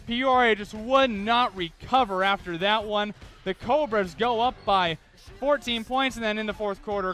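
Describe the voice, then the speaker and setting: High-voiced male sports reporter. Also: fairly bright tone. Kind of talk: broadcast recap and reporting, scripted.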